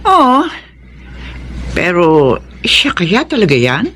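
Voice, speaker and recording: A Filipino woman playing a skeptical, teasing friend in radio drama dialogue, questioning and animated. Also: fairly low pitch, slow, a little hiss or hum, heavily acted. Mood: doubtful, surprised.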